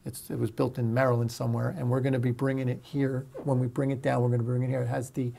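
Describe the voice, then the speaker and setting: A middle-aged American man, a fire chief, speaking in a sit-down interview in a halting way, repeating his phrases. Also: mid-range voice, monotone, vocal fry, warm tone.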